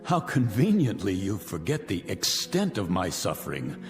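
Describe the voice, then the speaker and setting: Deep-voiced, vengeful, pompous millionaire. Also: male voice, wildly swooping pitch, normal speed. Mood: wounded, scornful. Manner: theatrical, pompous, taunting, articulate. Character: vengeful, arrogant millionaire man holding old enemies captive. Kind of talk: radio drama acting. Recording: reverberant radio drama with music underneath.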